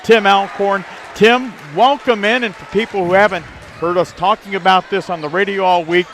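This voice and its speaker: High-voiced male sports host, noisy gym. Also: steady tone, normal speed, a bit bright. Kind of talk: sports pregame broadcast. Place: busy high school gym. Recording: noisy gym with bouncing basketballs.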